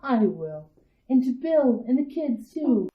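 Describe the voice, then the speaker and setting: Woman playing a patient mom, gentle, indulgent, high voice, muffled lo-fi recording. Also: very animated, slow pace, exaggerated voice. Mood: warm. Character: busy suburban mother, mild and patient. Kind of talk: amateur radio drama acting.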